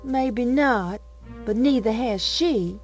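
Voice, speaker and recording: A woman's voice: fairly high pitch, slow, a little mellow, soft background music. A woman playing a haughty, self-pitying young heiress in an audio soap drama. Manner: petulant, drawn out.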